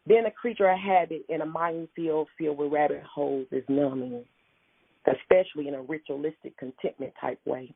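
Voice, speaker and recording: Husky woman poet on a phone line. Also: sits low, dry recording. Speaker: poet and interview guest. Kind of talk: conversational, reflective talk and poetry reading. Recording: tinny, phone-like radio call-in line.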